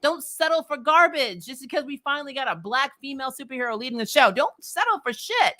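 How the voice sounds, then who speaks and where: A woman hosting a recap vlog, chatting casually and answering comments with strong opinions, in an emphatic, blunt, animated manner that includes swearing. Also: high-pitched, fast talker. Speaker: host of a TV show recap vlog.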